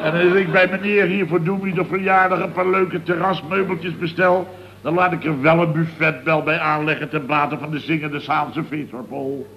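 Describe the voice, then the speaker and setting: An actor playing a blustering, exasperated middle-aged contractor boss who rambles indignantly in a radio comedy. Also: male voice, fairly high pitch, steady tone, normal speed, vocal fry. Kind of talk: radio comedy serial acting. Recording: dull, noisy old radio recording.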